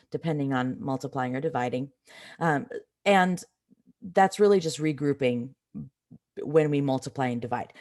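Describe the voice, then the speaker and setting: A woman teaches in a low voice that is slightly rough from a cold. She explains things informally, as in a live online class, talking straight to her students. She puts clear emphasis on the points that matter. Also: a little mellow. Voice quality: slightly raspy. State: has a cold. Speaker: math teacher.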